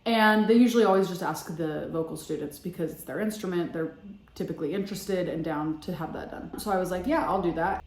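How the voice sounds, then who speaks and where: Chatty young woman, echoey room. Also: mid-range voice. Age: young adult. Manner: chatty, animated, many fillers. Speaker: vlogger. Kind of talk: casual storytelling.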